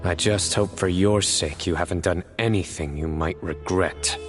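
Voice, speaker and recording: An actor playing a stern, guarded young man in a game, speaking in a curt, dry, quietly threatening way. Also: low-pitched, moderate pace, music in the background, lightly acted. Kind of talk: game cutscene voice acting.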